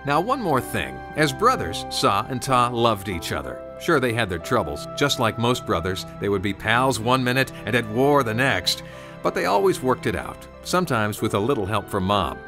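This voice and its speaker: A man's voice: mid-range voice, big pitch swings, normal speed, music in the background. A man narrating a scripted story in a folksy, conversational way, with asides to the listener.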